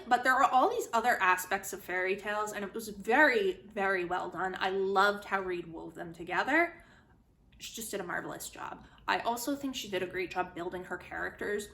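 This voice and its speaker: A woman giving a casual, chatty spoken book review, retelling and commenting in an animated way. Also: mid-range voice, vocal fry. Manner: animated, chatty, acts out quotes informally. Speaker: book reviewer, host of a literary video series.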